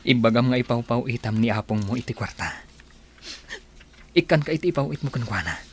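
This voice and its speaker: A man's voice: mid-range voice, expressive, fairly quick, slight background noise, close to an everyday voice. An actor with an Ilocano accent playing a secretive, evasive young businessman, earnest and placating, in a radio drama. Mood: firm, reassuring.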